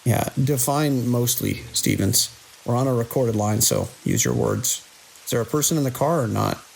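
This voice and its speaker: A man playing a weary, skeptical security chief in an audio drama, speaking in a dry, authoritative, measured way. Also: mid-range voice, sing-song, creaky, darkish tone, a little hiss or hum, low-quality recording. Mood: dry, businesslike. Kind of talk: immersive audio drama acting.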